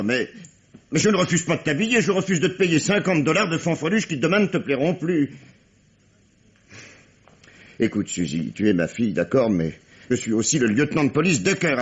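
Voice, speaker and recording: An actor playing a gruff, exasperated middle-aged police lieutenant in a vintage French radio drama, curt and sardonic. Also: male voice, a little high. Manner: curt, sardonic, hesitant when flustered. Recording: dull vintage radio recording.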